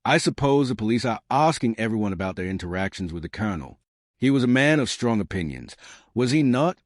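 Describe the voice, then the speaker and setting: A male narrator plays an elderly village lady sleuth in a mystery audiobook. She is polite, soothing and gently inquisitive, with expressive pitch movement and a dry, close sound. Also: fast talker, dry recording. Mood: soothing, sympathetic. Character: genteel elderly woman sleuth, mild, soothing, politely probing.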